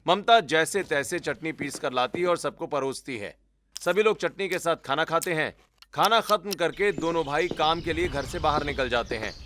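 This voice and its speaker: A man's voice: fairly high pitch, normal speed, fairly bright tone, a little hiss or hum. A man narrating a scripted story as its storyteller.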